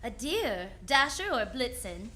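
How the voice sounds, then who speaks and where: A woman's voice: fairly high pitch, very animated, unhurried, pushed and bright, slight background noise, heavily acted. A woman playing a confident, wisecracking female sleuth in 1940s radio detective style, with a wry, breezy, slangy manner. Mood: wry, obliging.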